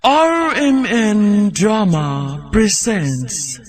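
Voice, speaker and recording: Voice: mid-range voice, very animated, very slow. An announcer with a Filipino Cebuano accent giving dramatic scripted narration for a radio drama. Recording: music behind the voice.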